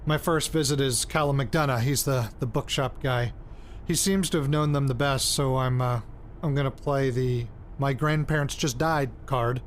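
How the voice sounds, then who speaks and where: An actor playing an anxious, insistent young amateur investigator, speaking haltingly and bluntly in a naturalistic audio drama. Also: male voice, medium pitch, natural voice. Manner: halting, blunt, pressing, sweary. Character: anxious, insistent amateur investigator probing his late grandparents' secrets. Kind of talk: audio drama, naturalistic hesitant recorded diary and interview. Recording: field recording with background noise.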